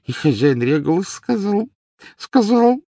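A man voicing an old male house elf servant from a storybook, servile and anguished, with a croaking, wheezy, halting delivery. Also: sits high, sing-song, dark tone, no room sound, clean studio sound, voice pushed up, full character voice. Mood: mournful reverence. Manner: wailing, halting, drawn-out slow speech, speaks of self in third person.

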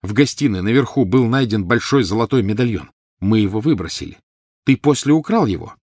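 A man voicing an urgent, commanding teenage boy hero in a storybook reading, with a forceful, demanding manner. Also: lowish voice, lively intonation, fairly quick, no echo, clean studio sound. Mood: tense questioning.

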